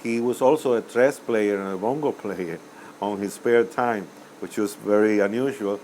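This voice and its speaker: An older man from Cuba speaks English. His voice sits a little low, and he talks in a rambling, hesitant way, full of uhs and restarts. A faint hiss sits behind him. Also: normal speed, darkish tone, rough recording. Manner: rambling, hesitant with many uhs and self-corrections. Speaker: musician guest. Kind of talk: interview answers.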